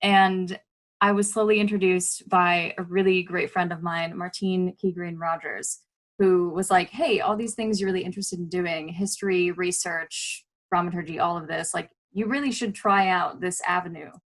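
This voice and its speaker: A woman's voice: on the low side, fairly even intonation, moderate pace. A young woman, a graduate student, answering interview questions in a conversational way. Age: young adult.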